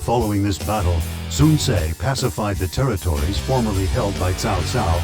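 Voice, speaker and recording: A man narrates a historical war game in a formal, solemn style. His voice is low, and loud music with plucked strings plays behind it. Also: lively intonation, moderate pace.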